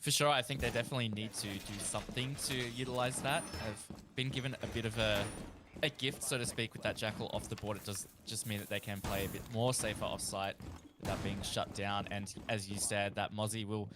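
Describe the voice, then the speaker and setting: A man's voice: lowish voice, fairly even intonation, a little fast, noisy background. A man with an Australian accent giving live esports analysis as a colour commentator, in a conversational way.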